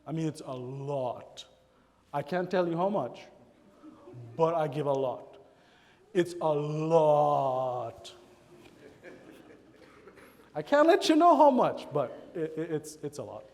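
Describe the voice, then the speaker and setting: High-voiced Trinidadian preacher in a hall. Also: male voice, slow pace, long pauses. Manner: animated, acts out church members in quoted voices. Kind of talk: sermon. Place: church sanctuary.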